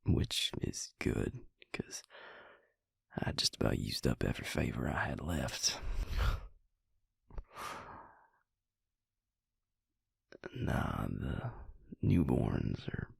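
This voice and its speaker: A man playing a gentle, self-deprecating, protective vampire in an intimate audio roleplay, speaking in a gravelly, breathy voice with a halting, softly teasing delivery. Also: a bass voice, dry recording, clean studio sound, exaggerated voice. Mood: reflective.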